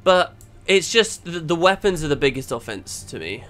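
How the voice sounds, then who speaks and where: Animated, bright-voiced man talking games bluntly. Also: fairly high pitch, moderate pace, faint music behind. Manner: blunt, emphatic, argumentative. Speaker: game build reviewer and critic. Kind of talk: casual critical gaming chat.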